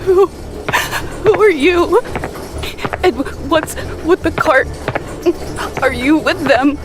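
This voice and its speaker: Very high, terrified young captive. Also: female voice, music in the background. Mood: shaken, wary. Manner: halting, pleading, stammering. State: crying, trembling. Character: terrified young captive woman, sobbing and pleading. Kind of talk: audio drama acting.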